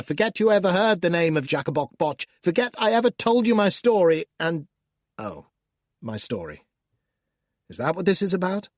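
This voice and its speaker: A scornful, menacing demon, read by a man in an audiobook talks straight at the reader in a mid-range voice. He taunts and sneers, swinging from low hushed menace to loud outbursts. The recording is close and completely dry. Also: no room sound, lightly acted. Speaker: audiobook narrator. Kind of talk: first-person audiobook narration.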